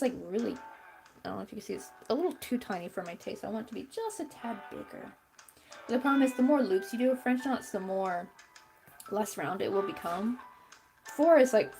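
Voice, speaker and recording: A woman's voice: mid-range voice, expressive, brisk, a little mellow. A young woman with a young-sounding voice, chatting casually and muttering to herself as she crochets. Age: young adult. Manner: chatty, talks to herself while working, mutters frustrations. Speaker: crochet channel host. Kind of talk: casual chat while crafting. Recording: music playing underneath.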